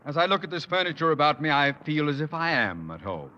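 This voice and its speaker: An actor playing a pompous, grasping, blustery school principal in a 1950s radio sitcom. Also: male voice, a little high, very animated, quick pace, pushed and bright. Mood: pompous, smug. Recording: dull, reverberant vintage radio with hiss.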